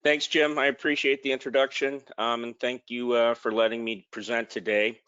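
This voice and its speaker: A man's voice: medium pitch, little pitch movement, moderate pace, bright, forceful tone, clear, resonant tone, no room sound, dull audio. A man presenting a technical slide talk in an informal way, with frequent ums and restarted words. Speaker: product manager presenting.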